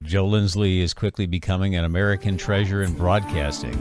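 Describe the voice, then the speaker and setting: A man's voice: sits low, fairly even intonation, fairly quick. A man hosting a radio show, introducing a correspondent with announcer delivery. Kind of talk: broadcast intro.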